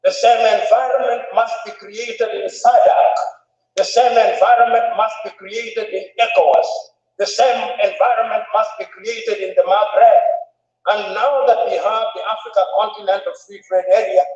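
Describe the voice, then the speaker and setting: Man, high-pitched orator, emphatic public address, echoey far-off hall sound. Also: steady tone, moderate pace, a little mellow. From Tanzania. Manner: emphatic, oratorical, repeats phrases for stress. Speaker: conference speaker. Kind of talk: formal public speech. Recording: echoey hall, distant dull mic.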